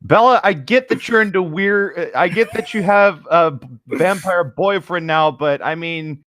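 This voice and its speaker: A man's voice: high-pitched, moderate pace, a bit bright. A young adult man co-hosting a comedic fan fiction reading in a casual, wry, slightly stumbling way. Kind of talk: casual, joking commentary and read-aloud.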